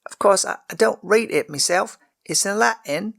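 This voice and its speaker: A man's voice: moderate pace, creaky, a bit bright, full character voice. A man voicing a timid, poor ten-year-old scullery girl who stammers and pleads in a raised voice. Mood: defensive. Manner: stammering, pleading, high-pitched.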